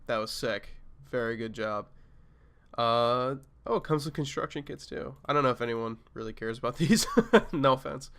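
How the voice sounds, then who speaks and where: Stuffy-nosed male reviewer, laid-back, mid-pitched, quick reactions, music playing behind. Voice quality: nasal. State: sick with a cold. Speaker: sample pack reviewer. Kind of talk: casual review commentary.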